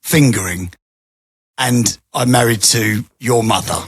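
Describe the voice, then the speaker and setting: A man's voice: mid-range voice, takes it slowly, husky. A middle-aged man co-hosting a casual podcast, jokey and teasing, laughing a lot. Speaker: podcast co-host.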